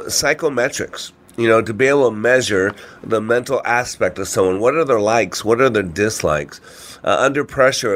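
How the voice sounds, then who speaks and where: A man's voice: medium pitch, a bit bright. Congested man hosting a motivational radio show. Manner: asks rhetorical questions, frequent "you know" and "right?". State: congested with a cold or allergies. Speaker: radio show host and trainer. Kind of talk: informal motivational talk.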